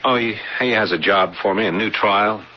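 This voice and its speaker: An actor playing a modest, reluctant artist husband in a casual, colloquial way in a 1980s radio drama. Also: male voice, on the low side, moderate pace, lightly acted. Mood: casual, explaining. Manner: casual, self-deprecating, colloquial. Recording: dull, hissy old radio broadcast recording.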